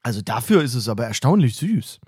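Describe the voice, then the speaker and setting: A man's voice: mid-range voice, big pitch swings, fast talker, a little mellow, breathy edge, no echo. A man co-hosting a casual podcast from a training camp, teasing and joking with his co-host.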